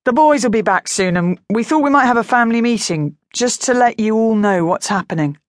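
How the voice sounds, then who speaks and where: A woman reads as a middle-aged farmer dad in a children's story. He is gentle and hesitant, putting a positive face on his worries, with animated pitch. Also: brisk, no echo, clean studio sound, close to an everyday voice. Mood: forced cheer. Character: kindly middle-aged farmer father, worried but trying to sound positive.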